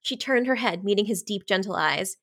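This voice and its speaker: A woman co-hosting a casual book podcast, reading highlighted passages with enthusiasm. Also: a little fast, vocal fry, a bit bright. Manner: enthusiastic, gushing. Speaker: podcast co-host.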